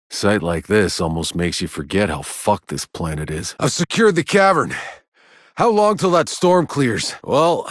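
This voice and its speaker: A very low, sardonic game soldier. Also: male voice, fairly bright tone, dry recording, exaggerated voice. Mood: relaxed, wistful. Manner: terse, dry, sardonic. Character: gruff, sardonic, battle-hardened male soldier hero. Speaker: video game voice actor. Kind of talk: video game character voice lines.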